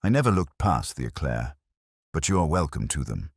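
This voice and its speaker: Male reader as keen young woman reporter, mystery audiobook, chatty, confiding, lively intonation, dry close sound. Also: rumbling low, moderate pace, dry recording, exaggerated voice. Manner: chatty, conspiratorial. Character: bright, eager young female gossip columnist.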